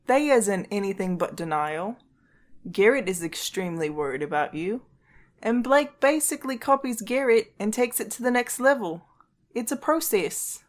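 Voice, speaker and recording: A woman as a calm, mid-pitched reasoning friend. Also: normal speed, close to an everyday voice. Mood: explaining. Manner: reassuring, matter-of-fact. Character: calm, reasoning, gently teasing female friend. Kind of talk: audio drama acting.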